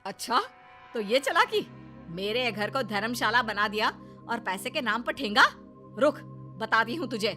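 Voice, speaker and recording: Woman voicing scheming older cartoon mother-in-law, greedy, nagging, taunting, forceful piercing tone, slightly high, music behind. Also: animated. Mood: gloating menace. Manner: nagging, taunting, drawn-out jibes. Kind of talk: animated moral-story cartoon acting.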